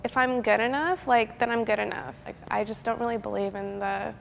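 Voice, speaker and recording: A young woman in her twenties with a slightly raised pitch and a gravelly, fry-laden edge, talking bluntly and competitively in a casual group chat. Her voice carries a long reverb from a large room, with faint music underneath. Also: fairly even intonation, a little fast, slight background noise. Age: 20s. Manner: blunt, competitive, many "like" fillers. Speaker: pro gamer.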